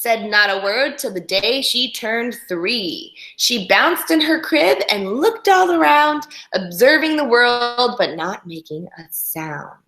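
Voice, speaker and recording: Animated woman reading to children, echoey room. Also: a little high, slow pace, hardly any pauses. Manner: animated, instructional, talks directly to young listeners. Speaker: children's read-aloud teacher. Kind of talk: expressive storybook read-aloud for young children with teacherly asides.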